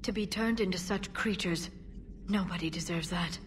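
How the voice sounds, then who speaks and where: Woman playing a sad but firm young alien girl in a game, slightly low voice, noisy ambience. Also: normal speed, natural voice. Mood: sorrowful, resolute. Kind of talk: video game voice acting.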